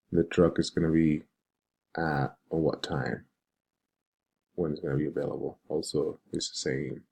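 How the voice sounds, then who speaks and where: A man from Venezuela giving an informal, unscripted screen-share presentation as a student, speaking in a hesitant, halting way. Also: rumbling low, creaky, dark tone, breathy edge. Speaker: web design student presenting a project.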